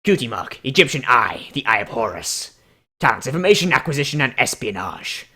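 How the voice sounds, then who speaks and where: A man's voice: mid-range voice, very animated, fairly quick, pushed and bright, slightly rough, voice pushed down, heavily acted. A man putting on a grave, dramatic narrator voice to read out character profiles. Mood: solemn, brooding. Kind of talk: dramatic scripted reading.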